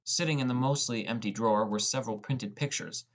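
A man's voice: mid-range voice, fairly quick, close to an everyday voice. A man as a teenage boy narrator. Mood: narrating. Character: sullen, defensive teenage boy narrating his story. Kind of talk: scripted audiobook narration.

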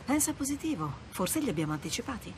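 A woman's voice: a little high, sing-song, fast, a little mellow, slight background noise. A woman playing a wry, confident, teasing treasure hunter in an Italian video game dub. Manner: wry, self-assured, teasing. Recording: background music under dialogue.

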